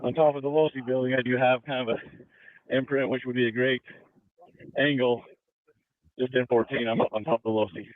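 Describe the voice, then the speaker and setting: Narrowband police radio: male officer, low-pitched, monotone, procedural dispatch talk. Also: brisk, long pauses, dry recording. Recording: muffled narrowband police scanner audio.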